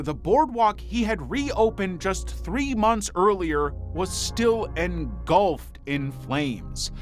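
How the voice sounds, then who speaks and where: A man reading scripted documentary narration in a dramatic storytelling manner. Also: sits high. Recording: voice over prominent background music. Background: music.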